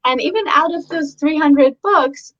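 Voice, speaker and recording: A woman's voice: high voice, a little slow, fairly bright tone. A woman researcher, born and raised in Iran with Persian as her first language, talking earnestly in a casual, conversational way with many ums and restarts. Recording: dull lo-fi audio.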